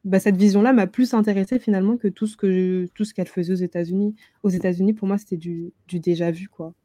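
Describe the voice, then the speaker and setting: Bright-voiced young woman, close dry sound. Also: mid-range voice, fairly even intonation, fast talker, dry recording. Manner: informal, colloquial speech. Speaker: book club host. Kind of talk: casual book discussion.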